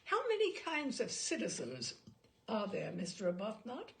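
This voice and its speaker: A woman voicing a courteous male questioner who methodically examines a witness in a humorous story, formal and measured. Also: mid-range voice, huge pitch swings, vocal fry, fairly bright tone, heavily acted. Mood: polite, inquiring. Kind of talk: humorous short story read aloud.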